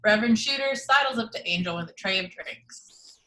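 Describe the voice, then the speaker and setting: Woman, stage directions, mid-pitched voice, even measured reading, video call. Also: rough recording. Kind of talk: reading action description.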